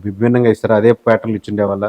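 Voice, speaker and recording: A man's voice: fairly low pitch, little pitch movement, rapid-fire, lo-fi sound. A man with a Telugu accent giving explanatory interview answers as a political analyst, mixing in English words and phrases. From Telugu-speaking southern India. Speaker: guest, election survey company head.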